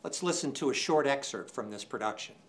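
A man in his sixties speaking as a presenter in a formal, measured, scripted style, enunciating deliberately. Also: mid-range voice, lively intonation, a bit bright, slight background noise. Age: 60s. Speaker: department chair presenting awards. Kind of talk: scripted awards show presentation to camera. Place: indoor studio set.

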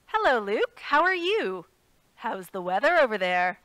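A woman's voice: a little high, sing-song, unhurried, a bit bright, no echo. An actress playing a spirited, playful and earnest woman radio correspondent phoning in her report in an audio drama.

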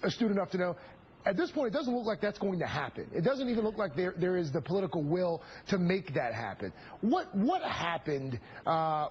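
Probing male radio host, fairly high voice. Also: slightly rough. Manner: probing, hesitant with fillers. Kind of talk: broadcast interview. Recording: dull lo-fi broadcast audio, faint hiss.

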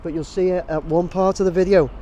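A man's voice: high-pitched, fairly even intonation, fast, mellow, lo-fi sound, a little hiss or hum. Rambling man vlogging on the street. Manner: rambling, many fillers and restarts. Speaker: drone videographer. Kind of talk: casual vlog to camera. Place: outdoors on a city street. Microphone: camera held at arm's length.

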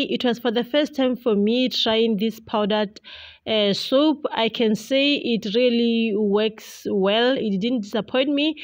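Slow-talking woman in a casual vlog. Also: a little high. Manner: hesitant, chatty, addressing viewers as guys. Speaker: vlogger, homemaker.

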